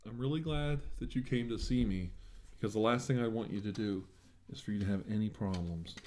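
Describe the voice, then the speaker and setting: A man with a lowish, very mellow voice performs an ASMR medical roleplay. He plays the examiner, speaking to the patient in a reassuring and attentive way. His tone is dark and relaxed. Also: a little fast, a little hiss or hum. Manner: reassuring, attentive bedside talk.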